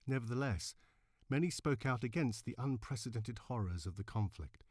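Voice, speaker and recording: A man reading scripted historical narration in a measured, solemn, deliberately phrased way. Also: mid-range voice, animated, a little mellow, dry recording, studio quality. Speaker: narrator, reader.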